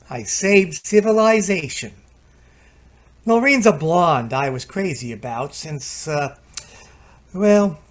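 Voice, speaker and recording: Man acting cocky radio-play repairman, high male voice, breezy, slangy, wisecracking storyteller. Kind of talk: old-time radio drama acting.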